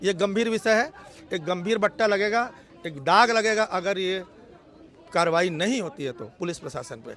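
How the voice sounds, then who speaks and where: High-pitched, emphatic male activist spokesman. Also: expressive, moderate pace, a bit bright. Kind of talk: on-the-spot news interview statement. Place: outdoors near a police station. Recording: outdoor street with crowd murmur.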